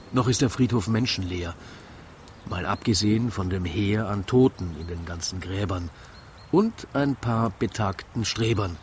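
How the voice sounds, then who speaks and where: Male radio-play narrator, Austrian. Slow, deliberate, theatrical verse with a dry wink, over clearly audible ambience. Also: on the low side, noisy background. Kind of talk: scripted rhyming verse narration, storytelling.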